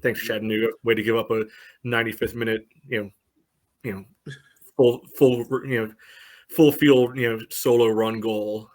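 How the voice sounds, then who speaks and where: A man's voice: on the low side, flat delivery, normal speed, a little mellow. Casual man talking soccer, heavy on "you know". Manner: says "you know" constantly as filler. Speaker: podcast co-host and soccer fan pundit. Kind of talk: casual sports podcast banter and analysis.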